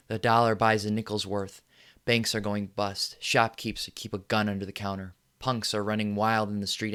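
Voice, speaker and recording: An actor playing a furious middle-aged TV newsman who delivers an impassioned on-air rant that repeats itself and builds to yelling. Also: fairly low pitch, clear, resonant tone, close to an everyday voice. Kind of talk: film monologue.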